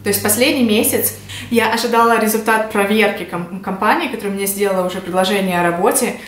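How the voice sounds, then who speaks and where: A woman's voice: medium pitch, fast. A woman in her twenties from Russia, talking casually to the camera as she explains things in a vlog. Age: 20s. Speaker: vlogger. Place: small indoor room at home. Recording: echoey home room.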